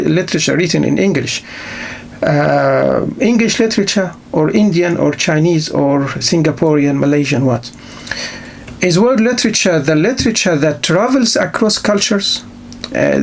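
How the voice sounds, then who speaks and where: Male lecturer, a little high-pitched, rough and gravelly, halting delivery with many rhetorical questions. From Egypt. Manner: halting, many restarts and repeated words. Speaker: literature lecturer. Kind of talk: unscripted university lecture.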